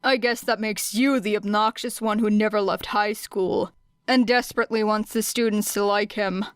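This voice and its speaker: A woman voicing a grumpy, strict male teacher with a dry, curt, deadpan manner in an audiobook. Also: mid-range voice, normal speed, smooth, no room sound, lightly acted. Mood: deadpan sparring. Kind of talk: acted audiobook dialogue.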